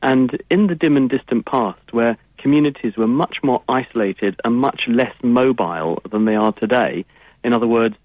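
A man's voice: mid-range voice, moderate pace, no room sound. A man with an English accent, a science guest on a radio call-in, answering listeners' questions in long, fluent explanations. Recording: tinny phone-line sound.

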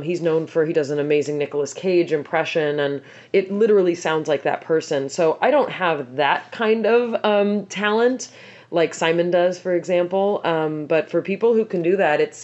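A woman with a low, scratchy voice talks through an interview about her voice work. She fills her sentences with "you know" and "um" in an easy, conversational way. The recording sounds a little dull and lo-fi. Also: moderate pace, a little mellow. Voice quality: rough, scratchy voice. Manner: chatty, with many fillers. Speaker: interviewed guest, voice actor. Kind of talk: relaxed interview answers.

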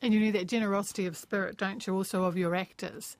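A woman with a New Zealand accent conducts a conversational interview. Her voice sits at a middle pitch and she sounds thoughtful and encouraging. The recording is close and dry. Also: fairly even intonation, fast talker, darkish tone, no echo. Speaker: interviewer, host.

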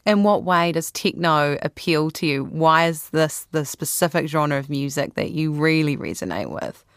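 Low-voiced New woman interviewer. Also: expressive, dry recording, clean studio sound. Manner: measured, prepared questions. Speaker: radio interviewer. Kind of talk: interviewer questions.

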